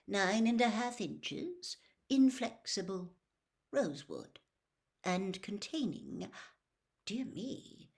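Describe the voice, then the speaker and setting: A woman voicing a quiet, meticulous old male wizard wand maker in a storybook reading, halting and deliberate. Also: mid-range voice, sing-song. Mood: quiet scrutiny. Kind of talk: storybook character voice.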